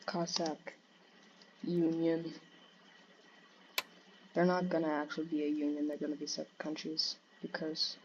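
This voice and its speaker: A young teen boy with a high, childlike voice, casually commenting on a strategy game in a cheeky, offhand way. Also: a little mellow, lo-fi sound, a little hiss or hum. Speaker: gaming channel host.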